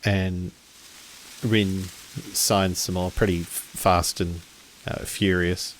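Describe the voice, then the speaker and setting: Low-voiced man narrating slowly as game master. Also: flat delivery, slight background noise, poor audio. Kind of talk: narrating a tabletop roleplaying game.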